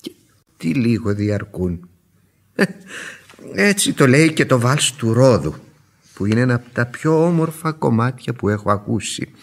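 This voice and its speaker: An actor playing a fussy, long-suffering older husband in a vintage Greek radio drama. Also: male voice, medium pitch, very animated, pauses often. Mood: indignant.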